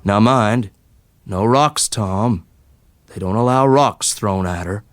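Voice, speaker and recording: A man voicing a rough, folksy fellow, opinionated and bitter but kindly, in colloquial rustic speech. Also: on the low side, slow pace, dry recording, clean studio sound. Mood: knowing, grumbling.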